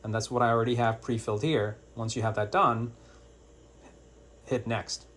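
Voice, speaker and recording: Bright-voiced man giving a how-to demonstration. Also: medium pitch, steady tone, normal speed. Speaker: tutorial presenter. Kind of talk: informal step-by-step product demonstration.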